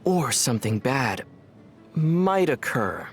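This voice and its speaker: A man playing a young male traveler hero in a video game, speaking in a calm, gentle, thoughtful and measured way. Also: medium pitch, soft background music. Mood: pensive. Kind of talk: video game character voice lines.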